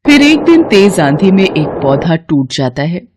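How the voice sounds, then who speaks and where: A woman giving expressive scripted narration of a children's moral story. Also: fairly low pitch, measured pace, noisy background.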